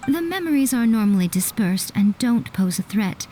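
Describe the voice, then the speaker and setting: A woman playing a composed, playful fox shrine maiden in a video game, speaking in a poised, measured, gently teasing way. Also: a little high, expressive, slight background noise, lightly acted. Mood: calm, instructive. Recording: game audio with music behind. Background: music.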